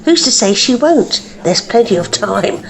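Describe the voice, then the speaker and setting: A woman's voice: fairly high pitch, animated, unhurried, crowd noise, low-quality recording. A woman playing a catty, mocking village gossip in a comic radio drama. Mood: catty, dry.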